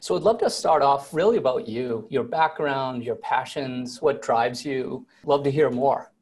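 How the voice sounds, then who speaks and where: A man's voice: mid-range voice, a little slow, darkish tone, slightly rough. A man hosting an interview show, welcoming his guest effusively. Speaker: podcast host. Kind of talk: interview introduction.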